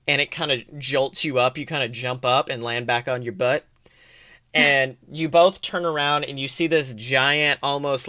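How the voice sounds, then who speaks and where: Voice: mid-range voice, bright, forceful tone, no room sound, studio quality. A game master narrating a tabletop adventure in an informal, improvised way, swearing casually. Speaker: game master and podcast host.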